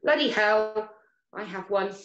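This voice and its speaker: A woman's voice: mid-range voice, measured pace, a bit bright, muffled recording, natural voice. A woman acting a regretful, worried mother in her fifties in a dramatic scene, blunt and emotional. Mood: determined, anxious. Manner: emotional, hesitant, blunt swearing. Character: worried, regretful, sweary mother of fifty-five. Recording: roomy echo.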